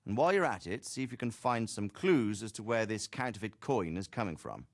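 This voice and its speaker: An actor playing a commanding, fair-minded medieval lord in a video game drama, speaking with deliberate authority. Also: male voice, on the low side, expressive, no echo, clean studio sound. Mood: resolute.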